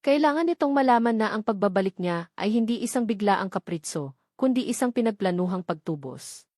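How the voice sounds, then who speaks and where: A woman narrates a romance audiobook in a dramatic, scripted style. Her voice sits at a medium pitch, and the recording is close and dry. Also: lively intonation, a little fast, a little mellow, no echo.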